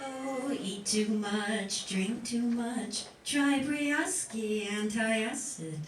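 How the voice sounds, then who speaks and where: A woman sings an advertising jingle in a high voice, drawn out very slowly. She is some distance from the microphone with faint music. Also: reverberant. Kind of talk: sung radio jingle.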